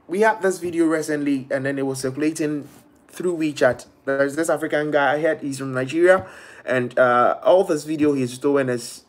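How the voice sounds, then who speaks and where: Animated young man on a webcam live stream. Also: fairly high pitch, normal speed. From Ghana. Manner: animated, gestures a lot, quotes people in shifting voices. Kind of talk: casual live stream monologue. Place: small bedroom indoors. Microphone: laptop or phone webcam at arm's length.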